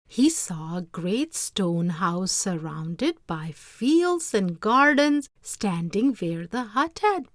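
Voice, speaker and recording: Female storyteller for read-aloud tales, lively intonation, measured pace, dramatic phrasing, dry close recording. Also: medium pitch, no room sound. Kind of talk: expressive scripted read-aloud narration.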